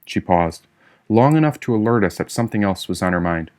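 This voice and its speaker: A man reading a first-person story as an audiobook narrator, expressive and theatrical in his delivery. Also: on the low side, a little fast. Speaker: author reading own audiobook.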